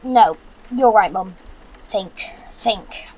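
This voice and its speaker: A man's voice: high-pitched, very slow, dull audio, full character voice. A young man playing a practical, bossy British son in an audio drama, speaking in an earnest, instructive way. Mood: coaching, urgent. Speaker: actor.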